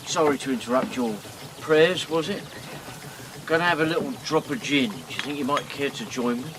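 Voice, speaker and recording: An actor playing a rough, hard-drinking riverboat captain in a radio drama, with slangy, sarcastic speech. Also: male voice, mid-range voice, moderate pace, noisy background, lightly acted. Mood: friendly.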